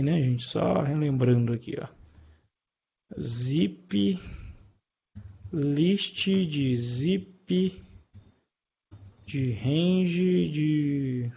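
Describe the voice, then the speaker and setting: A man's voice: a little high, creaky, warm tone, breathy edge. A man with a Brazilian Portuguese accent teaching programming in an informal live lesson, explaining things colloquially and checking in with his listeners. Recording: dull lo-fi online stream.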